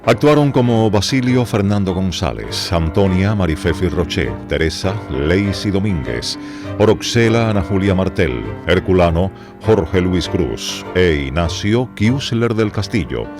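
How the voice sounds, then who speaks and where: A man narrating a scripted radio drama in a measured, dramatic manner. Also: low voice, animated. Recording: music under the voice.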